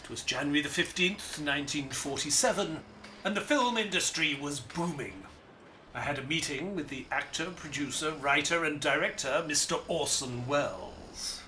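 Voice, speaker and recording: An actor playing a polite, earnest detective's companion who narrates an audio drama in a formal, theatrical storytelling manner. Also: male voice, fairly high pitch, expressive, normal speed, sharp tone, slight background noise. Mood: dramatic narrating.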